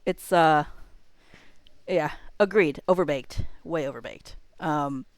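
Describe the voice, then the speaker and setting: A woman's voice: low voice, slow pace, dry recording. A woman hosting a livestream, chatting casually to viewers in a joking way with wandering asides. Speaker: livestream host.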